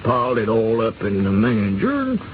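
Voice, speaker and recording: An actor playing a kindly, amiable American country doctor in a 1930s radio drama. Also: male voice, lowish voice, big pitch swings, moderate pace. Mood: reassuring. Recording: noisy, muffled 1930s radio recording.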